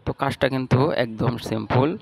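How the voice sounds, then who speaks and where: A man's voice: mid-range voice, fairly even intonation, a little fast, vocal fry, a little mellow. A man with a Bangladeshi accent narrating a step-by-step repair tutorial in a plain, instructional way. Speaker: electronics repair channel host.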